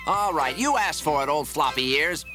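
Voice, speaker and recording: Low-voiced, flustered hedgehog dad. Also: female voice, animated, moderate pace. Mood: defiant. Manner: exasperated, fretful grumbling. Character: grumpy, flustered, proud little hedgehog father. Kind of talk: animated cartoon voice acting. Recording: music behind the voice.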